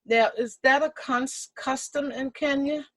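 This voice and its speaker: A woman hosts a radio talk show, welcoming a guest and asking questions. She speaks slowly and deliberately, reacting with soft affirmations. The sound is dry. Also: high voice, fairly even intonation, dry recording.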